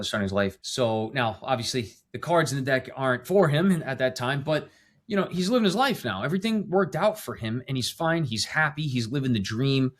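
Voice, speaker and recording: A man in his thirties, an oral surgeon hosting a podcast, talking casually and encouragingly. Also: mid-range voice.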